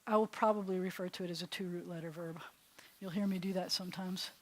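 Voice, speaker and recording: A woman rabbi teaching a beginners' Hebrew class in a loose, chatty way, often restarting her sentences and sounding words out slowly. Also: lowish voice, steady tone. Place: classroom or congregation hall.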